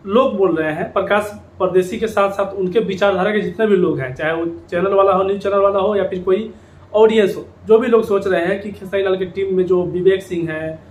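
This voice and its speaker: A man's voice: high voice, a little mellow. A man giving informal opinion commentary to viewers, repeating phrases for emphasis. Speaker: YouTube commentator on Bhojpuri music industry. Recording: echoey room with background noise.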